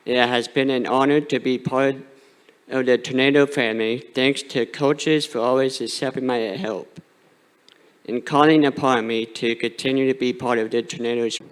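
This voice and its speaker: A man in his late twenties reads his acceptance speech in an earnest, somewhat halting way. His voice sits at an ordinary middle pitch. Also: fairly even intonation, clean tone, rough recording. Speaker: award recipient.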